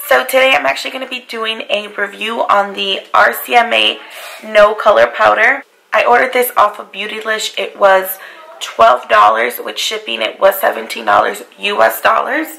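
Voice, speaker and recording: A young woman talks through her makeup routine at home, her voice worn hoarse and raspy by a cold. It carries a deep, gravelly fry yet stays bright and forward. She speaks casually to the camera and keeps apologizing for how she sounds. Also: fairly even intonation, normal speed, rough recording. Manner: chatty, apologetic about her voice. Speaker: beauty vlogger. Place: bedroom vanity, indoors.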